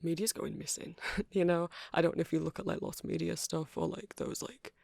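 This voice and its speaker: A soft-spoken man doing a rambling ASMR roleplay as a shopkeeper, hesitant and apologetic in manner. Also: a little high, fairly even intonation, fairly quick, vocal fry, dry recording, clean studio sound. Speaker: character performer playing a shopkeeper.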